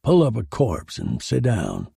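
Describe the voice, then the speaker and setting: A man's voice: fairly low pitch, huge pitch swings, measured pace, creaky, mellow, breathy edge, no room sound, studio quality, heavily acted. A man playing a cynical, exasperated police detective who talks tough and slangy, in the style of a 1940s crime drama. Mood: brusque. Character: hard-boiled gruff police detective, big man, cynical.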